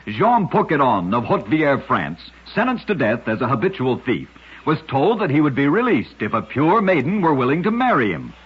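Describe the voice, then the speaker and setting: A man hosting an old-time radio program, narrating short scripted oddities in a husky, measured, dramatic announcer's delivery. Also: mid-range voice, very animated. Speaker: radio host and storyteller. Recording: muffled, dull old radio recording.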